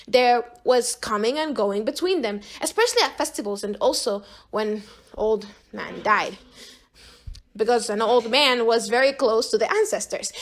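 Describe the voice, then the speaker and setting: Female narrator, high-pitched voice, expressive and emphatic amateur reading of literary fiction. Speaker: audiobook narrator.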